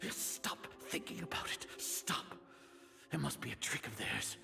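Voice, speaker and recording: A man's voice: medium pitch, animated, a little slow, creaky, music in the background. An actor playing an imperious, suspicious older male grand sage in a video game, speaking formally and commandingly as he grows rattled. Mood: panicked denial. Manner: commanding, formal, questioning himself aloud.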